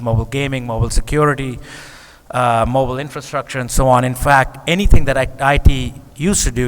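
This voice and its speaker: A man's voice: medium pitch, a bit bright, clean tone. A man moderating a panel in a semi-formal, public-speaking style. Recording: reverberant conference hall.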